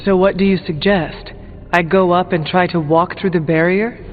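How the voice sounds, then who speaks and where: A guarded, resolute demon hunter played by a man talks in a high male voice, blunt and deliberate, like game dialogue. It sounds narrow as a phone, in a long reverb over faint music. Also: steady tone, natural voice. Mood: challenging. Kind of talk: scripted video game dialogue.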